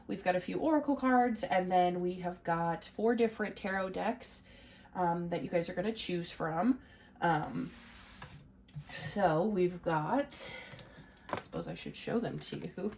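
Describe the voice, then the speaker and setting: A woman's voice: medium pitch, darkish tone, a little hiss or hum. A woman with a stuffy, congested voice from a cold, giving a casual, rambling tarot reading to her viewers with lots of fillers. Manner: chatty, rambling, many "you know" and "um" fillers. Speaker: tarot reader.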